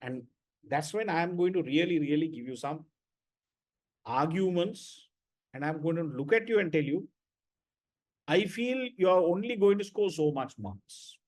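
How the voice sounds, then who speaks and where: A man's voice: fairly high pitch, lively intonation, unhurried, pauses often, a little mellow. A man lecturing students in an online class, blunt and repetitive, often checking with "right?".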